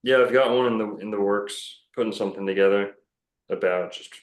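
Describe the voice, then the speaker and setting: A man's voice: on the low side, a little fast, roomy sound. A man talking casually as a podcast guest, rambling and self-assured with many fillers and restarts. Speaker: podcast guest, bookstore owner.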